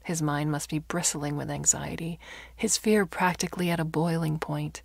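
Female fiction narrator, lowish voice, expressive, often breathy, dry studio-like sound. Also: moderate pace, no echo. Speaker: story narrator. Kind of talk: dramatic audiobook narration of fiction.

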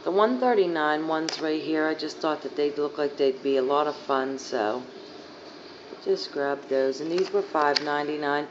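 Hoarse woman chatting through a haul. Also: sits low, normal speed. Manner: rambling, lots of fillers. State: hoarse, losing her voice. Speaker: beauty vlogger. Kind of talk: casual haul chat. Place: living room couch by a sunny window. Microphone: phone camera at arm's length. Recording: dull lo-fi phone audio, faint hiss.

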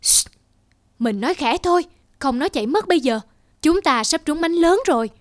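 A woman's voice: high-pitched, lively intonation, normal speed, dry recording, studio quality. A woman voicing a lazy, easily distracted, grumbling peasant husband in a folktale cartoon. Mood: excuse-making, excited.

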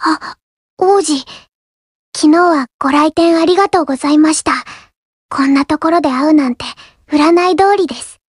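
Woman acting as young anime girl, very high voice, soft-spoken, shy, polite, earnest, dry close studio sound, mobile game lines. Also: normal speed, no room sound, close to an everyday voice. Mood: reserved. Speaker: game character voice actor.

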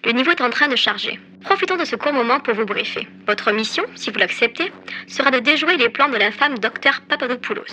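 An actress playing a poised, polite mission operator giving a scripted briefing in a radio drama. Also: female voice, on the high side, expressive, fairly quick, sharp tone, poor audio. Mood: formal.

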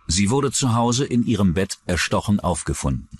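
Low-pitched male true-crime narrator. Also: lively intonation, moderate pace. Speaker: documentary narrator.

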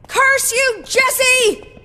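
A woman's voice: very high voice, fairly even intonation, extremely slow, bright, forceful tone, in a large hall, exaggerated voice. An actress playing a haughty, theatrical young woman in a video game, with pompous, melodramatic delivery. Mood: spiteful, pompous. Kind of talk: animated video game voice acting.

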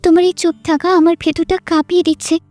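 Actress doing young woman in love, really high voice, emotional n sincere, romance audio story, bit of music behind. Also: level tone, quick pace, darkish tone. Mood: shaken.

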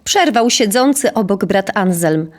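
A woman's voice: mid-range voice, lively intonation, unhurried. A woman narrating a scripted historical tale as the storyteller of an audio drama.

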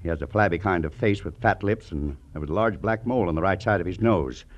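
A man's voice: deep voice, fast talker. A man playing a stern, exasperated wealthy guardian in an old radio drama, brusque and authoritative. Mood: stern, worried. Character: stern, exasperated rich uncle and guardian, middle-aged or older. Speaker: supporting actor in a radio drama. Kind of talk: 1950s radio drama acting. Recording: dull old radio recording.

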